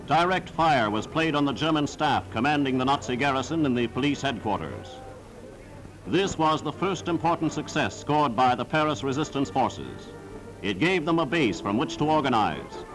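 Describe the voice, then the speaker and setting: Man, vintage newsreel narrator, solemn and declamatory, sweeping intonation, middle pitch, prominent musical score, 1940s soundtrack. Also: lively intonation, normal speed, music in the background, poor audio. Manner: dramatic, solemn, formal declamatory delivery.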